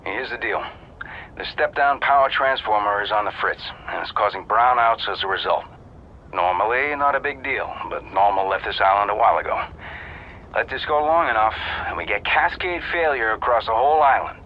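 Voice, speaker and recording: Guy playing deadpan sardonic engineer in a game, lowish voice, dull radio sound. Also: fairly quick, slight background noise, natural voice. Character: dry, unflappable male technical engineer on radio. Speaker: engineer, mission contact. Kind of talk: scripted video game radio briefing.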